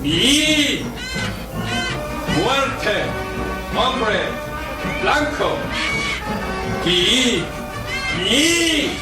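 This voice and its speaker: Casting: threatening wild man of the jungle, very slow, wildly swooping delivery, radio serial, loud music. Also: extremely high pitch, expressive, heavily acted. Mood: menacing. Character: menacing, burly wild jungle man, broken speech. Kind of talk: old-style radio adventure acting. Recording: echoey, distant, loud music behind.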